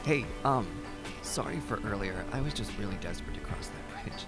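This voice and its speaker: A man's voice: mid-range voice, lively intonation, a little fast, over loud music, close to an everyday voice. A man playing an earnest, anxious young hiker in an amateur audio drama. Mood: calmer.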